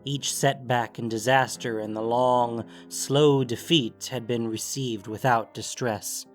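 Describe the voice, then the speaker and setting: A man narrating scripted fantasy fiction in a grave, deliberate way with theatrical emphasis. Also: mid-range voice, steady tone, faint music behind.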